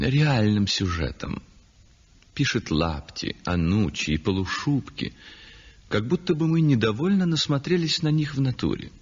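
An actor playing a self-satisfied, worldly, mercenary painter in a 1960s radio play, chatty and theatrical. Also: male voice, mid-range voice, husky. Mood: musing, condescending. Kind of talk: 1960s radio play acting, confiding monologue. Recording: dull 1960s radio recording, long reverb.

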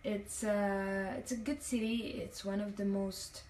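A woman's voice: mid-range voice, fairly even intonation, a little slow, darkish tone, slight background noise. Chatty young woman on a phone livestream. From Italian-speaking Switzerland. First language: Italian. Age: young adult. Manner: chatty, reads comments aloud, many fillers. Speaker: livestream host. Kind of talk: casual livestream chat. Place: hotel bathroom, sitting on the floor. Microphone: phone held at arm's length, talking to a mirror. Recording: echoey tiled bathroom, phone mic at a distance.

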